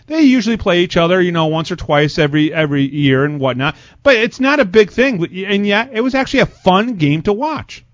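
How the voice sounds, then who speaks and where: Higher-pitched male sports host, roomy sound. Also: animated, fairly quick, few pauses, lo-fi sound. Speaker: show host interviewer. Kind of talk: sports talk interview.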